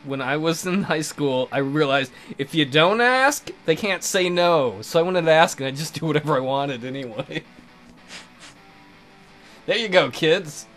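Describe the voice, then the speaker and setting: A man's voice: a little high, a little slow. A man hosting a let's play with casual, teasing, sarcastic commentary. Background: soft guitar music.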